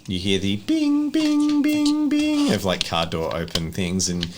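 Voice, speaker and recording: A man's voice: lowish voice, huge pitch swings, a little slow, slight background noise. A man acting as game master, describing scenes conversationally for a tabletop roleplaying game.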